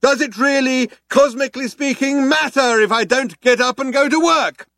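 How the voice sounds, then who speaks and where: A man voicing a fervent orator who proclaims grandly to a crowd in drawn-out declamation, as an audiobook character. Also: very high voice, sharp tone, dry recording, studio quality, voice pushed up, full character voice. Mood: exultant.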